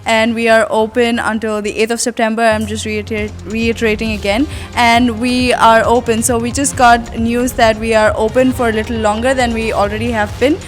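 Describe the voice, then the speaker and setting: A woman's voice: a little high, flat delivery, normal speed, few pauses, soft background music. A woman speaking as an interview guest, giving practical information. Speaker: media relations officer, interview guest.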